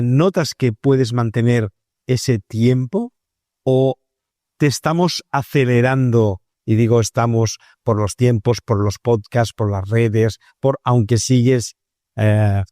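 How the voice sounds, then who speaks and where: A man's voice: medium pitch, slow pace, mellow, no room sound, clean studio sound. A man hosting a podcast interview, asking long conversational questions hesitantly, restarting phrases and checking in with short tags.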